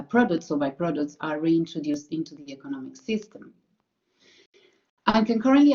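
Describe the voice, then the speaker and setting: A woman's voice: lowish voice, a little mellow, muffled recording. A woman from Colombia with a Colombian Spanish accent, presenting her PhD research carefully, with frequent fillers and self-corrections. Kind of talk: academic presentation.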